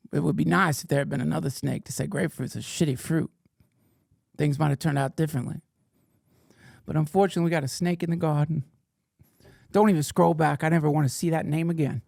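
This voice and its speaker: Middle-aged male host, gravelly, raised pitch, mock-indignant comic riffing, dry sound. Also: dry recording. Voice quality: playfully mock-indignant, swings to whispers and shouts. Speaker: podcast host reading chat questions. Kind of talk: comedic livestream banter in a mock persona.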